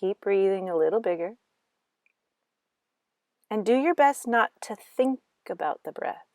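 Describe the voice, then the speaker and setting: A woman guiding a meditation in slow, gentle instructions with long pauses between phrases. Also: mid-range voice, expressive, no room sound, studio quality. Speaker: meditation guide. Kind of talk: guided meditation narration.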